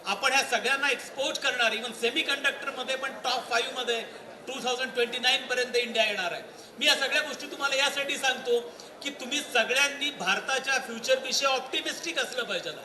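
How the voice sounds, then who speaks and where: Female event speaker, Indian accent, very high sharp voice, echoey venue recording. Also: quick pace, crowd noise. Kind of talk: motivational public speech mixing in English. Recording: echoey venue with faint ambient noise.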